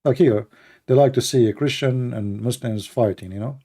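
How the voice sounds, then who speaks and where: A man's voice: mid-range voice, moderate pace, dark tone. A middle-aged man with an Arabic accent, a native Arabic speaker, talking casually and bluntly while answering questions, with many fillers and restarts. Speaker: livestream debate host.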